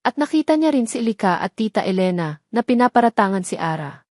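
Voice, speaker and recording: A woman's voice: on the high side, sing-song, fairly quick, darkish tone, dry recording, clean studio sound. A woman reading a scripted story as a narrator, in a dramatic style.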